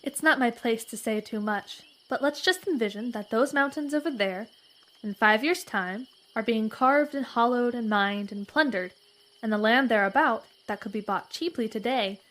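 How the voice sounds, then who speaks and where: A woman playing a learned, discreet frontier lady in a western audio comedy, speaking in formal, precise and measured words. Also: fairly high pitch, a bit bright, natural voice, rough recording. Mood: discreet, persuasive.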